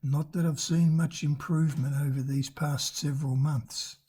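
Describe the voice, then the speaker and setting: An older travel vlogger with a raspy voice, narrating a road trip in a chatty, unhurried way, pausing now and then to search for words. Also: medium pitch, steady tone, dark tone. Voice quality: raspy from damaged vocal cords.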